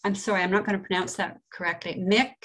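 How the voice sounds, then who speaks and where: A woman's voice: mid-range voice, steady tone, quick pace, a bit bright. A woman from Canada hosting a book launch on a video call, introducing the author by reading his bio plainly and stumbling over a prize name. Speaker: event host.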